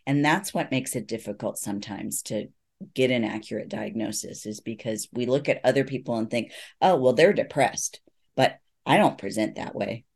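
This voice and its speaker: A middle-aged man, a psychologist guest, explaining things in an earnest, reassuring, measured way in a conversational interview. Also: medium pitch, vocal fry, husky.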